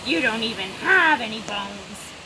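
A woman's voice: a little high, lively intonation, measured pace, background noise. A woman voicing a grumpy, taunting male crab in an emphatic storybook read-aloud. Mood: jeering. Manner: jeering, emphatic. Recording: loud ocean surf, outdoors.

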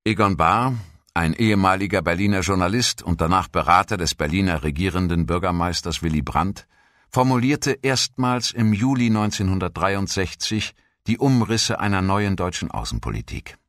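Deep-voiced man narrating a documentary. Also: a bit bright, dry recording, studio quality. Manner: measured, formal read delivery.

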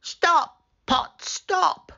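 A man's voice: extremely slow, bright, forceful tone, dull audio, exaggerated voice. A man voicing a kindly old woman with magic powers in a children's storybook, speaking theatrically. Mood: commanding incantation.

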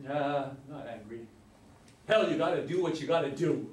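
A man's voice: on the high side, animated, normal speed, close to an everyday voice. An older man reading the part of a talkative, folksy old ex-convict hitchhiker in a staged script reading. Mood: wry, insistent. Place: meeting room with long table, indoors. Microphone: far camera. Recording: roomy, distant mic, some ambient noise.

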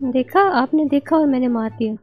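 A woman's voice: high voice, animated, moderate pace, lo-fi sound, heavy background noise. A woman in casual, teasing gaming voice chat. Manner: teasing, bantering. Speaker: gamer in team voice chat.

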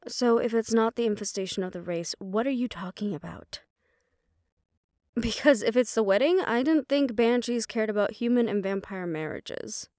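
A woman's voice: on the high side, moderate pace, darkish tone, no echo, studio quality, lightly acted. A woman playing a defiant, loyal young banshee daughter in an audio roleplay, sarcastic and casual.

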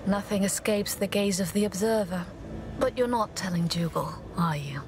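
An actress playing a steady, serious young woman warrior in a fantasy game, composed and terse. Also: mid-range voice, moderate pace, noisy background, natural voice. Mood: guarded. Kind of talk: dramatic fantasy game dialogue.

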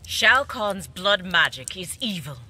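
A woman's voice: fairly low pitch, sing-song, slow pace, heavy background noise. A woman playing a righteous, stern young warrior in a fighting video game, firm and admonishing.

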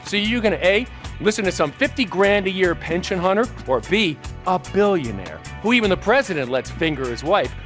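A smug ruthless billionaire tycoon. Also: male voice, high voice, animated, moderate pace, a bit bright. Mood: taunting. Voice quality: smooth, condescending, mocking. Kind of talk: video game cutscene dialogue. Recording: game audio under music.

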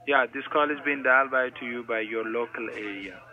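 A man's voice: mid-range voice, a little fast. A man working as a telemarketing caller, asking scripted sales questions in a formulaic, polite way with frequent sirs, heard through a phone. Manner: formulaic, polite, repeatedly saying sir. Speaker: telemarketing caller posing as senior supervisor. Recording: tinny speakerphone call audio.

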